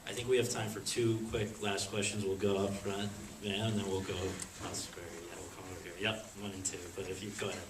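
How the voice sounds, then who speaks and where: A man's voice: deep voice, level tone, fast talker, hardly any pauses, a crowd in the background. A man casually moderating an onstage question-and-answer session as the interviewer. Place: onstage at a public audience event. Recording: echoey hall, distant mic, audience noise.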